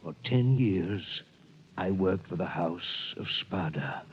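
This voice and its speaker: An actor playing an old, dying priest in a prison, speaking slowly and solemnly in an old radio drama. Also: male voice, deep voice, lively intonation, husky, heavily acted. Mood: earnest. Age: older. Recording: tinny old radio broadcast.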